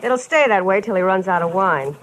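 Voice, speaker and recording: A woman's voice: medium pitch, animated, fairly quick, lightly acted. A woman playing a brash, bitter, tough-talking wife in old-time radio drama style, slangy and challenging. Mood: bitter, flip. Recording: hissy vintage radio recording.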